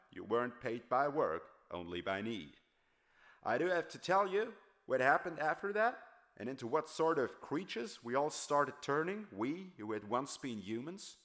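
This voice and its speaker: A man's voice: mid-range voice, fairly bright tone. A man reading a first-person novel aloud in a dramatic, storytelling style. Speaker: audiobook narrator.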